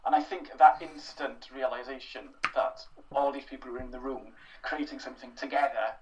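Soft-spoken Welsh man, a little distant. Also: mid-range voice, steady tone, a little fast, slight background noise. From Wales. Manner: thoughtful, self-deprecating, many fillers and restarts. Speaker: interview guest, writer. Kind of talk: casual interview.

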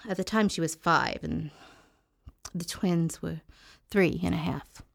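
An actress playing an incarcerated mother who talks in a halting, weary way with wry jokes. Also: on the low side, fairly even intonation, vocal fry. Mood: bitter. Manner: halting, weary, wryly joking. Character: incarcerated mother fighting to see her kids. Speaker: inmate. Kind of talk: audio drama acting.

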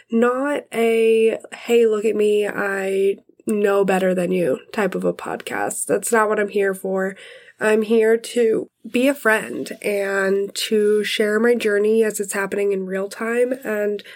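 A woman in her thirties hosting her podcast in casual, friendly chat, her voice congested from illness. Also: medium pitch. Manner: friendly, chatty. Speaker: podcast host.

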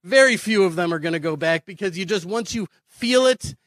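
American male advocate, high voice, dry close sound; impassioned, emphatic public speaking. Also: animated, brisk, fairly bright tone, no echo. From Houston, Texas, United States. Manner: earnest, emphatic, repeats phrases, rhetorical questions. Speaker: speaker advocating immigration to Israel. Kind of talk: impassioned conference speech.

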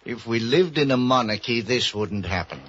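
A tipsy, grandiloquent ex-doctor from an old radio detective show. His delivery swings widely in pitch with a raspy edge, wry and theatrical, on a dull vintage recording. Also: lively intonation, normal speed, fairly bright tone. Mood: dry, wry. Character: boozy, florid, philosophizing middle-aged ex-doctor sidekick. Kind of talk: 1940s radio drama acting.

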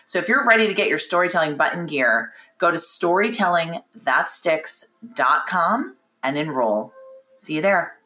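A middle-aged American woman with a low-set voice and a bright, forward edge. She speaks as an upbeat podcast host, articulate and encouraging. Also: lively intonation, normal speed, soft background music.

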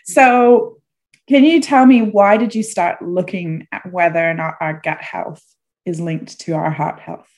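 A woman's voice: fairly low pitch, steady tone, measured pace, a little mellow. A woman hosting an interview in a casual, chatty style. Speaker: show host, interviewer.

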